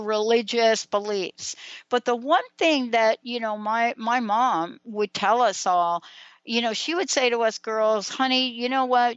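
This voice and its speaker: A woman's voice: a little high, fairly bright tone, clear, resonant tone, no echo, dull audio. A woman co-hosting a radio talk show, speaking in a reflective, conversational way full of "you know"s. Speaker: radio co-host.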